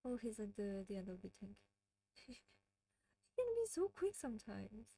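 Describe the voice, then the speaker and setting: A woman's voice: medium pitch, big pitch swings, moderate pace, creaky, mellow, no room sound. A woman from France with a French accent, hosting a casual livestream and chatting with viewers, often giggling. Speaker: livestream host.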